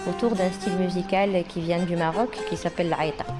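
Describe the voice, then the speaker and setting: A woman from Morocco speaks earnestly, her lowish voice often halting on fillers as she talks through a documentary interview. Music plays clearly in the background. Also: fairly even intonation. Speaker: singer and rapper.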